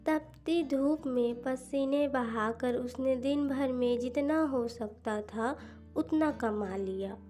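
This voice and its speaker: A woman narrates a cartoon story in a high voice. She takes her time over each phrase, with faint instrumental music behind her. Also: slow pace, mellow.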